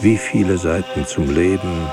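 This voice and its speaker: An actor playing a brooding, weary writer who speaks poetic radio drama monologue in a deliberate, halting way. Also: male voice, over music. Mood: grave, reflective. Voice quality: gravelly, husky, low. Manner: deliberate, halting, hushed at times. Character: brooding, weary male writer pondering word and truth.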